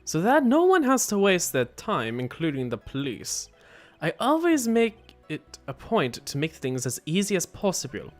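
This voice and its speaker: Man acting formal hired killer, cold, theatrical, game villain, very animated intonation, background music. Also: sits high, moderate pace. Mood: indignant, cold. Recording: music behind the voice.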